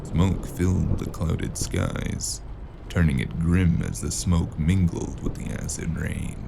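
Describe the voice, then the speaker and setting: A man playing a weary, gruff detective in a noir audio drama, speaking in a dry, terse, gravelly way. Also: deep voice, takes it slowly, noisy background, exaggerated voice. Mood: brooding narration. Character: weary gruff male noir detective narrating his cyberpunk case. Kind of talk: hard-boiled noir audio drama narration and dialogue.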